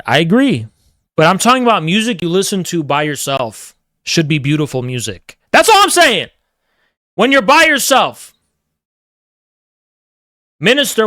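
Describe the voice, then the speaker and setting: A man's voice: fairly high pitch, a bit bright, dry recording, clean studio sound. A man live-streaming, chatting casually and teasing his chat while rambling through strong opinions.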